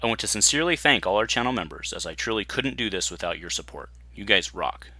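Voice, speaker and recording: Male host for scripted promotional voice-over: deep pitch, quick pace, crisp forward energy. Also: expressive, sharp tone, dry recording. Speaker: channel host.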